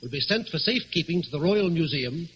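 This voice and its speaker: Bright-voiced, shrewd gentleman thief in radio thriller. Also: a little high, expressive, fairly quick. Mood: urgent, persuasive. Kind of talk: old-time radio thriller acting. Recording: muffled old radio recording, faint noise.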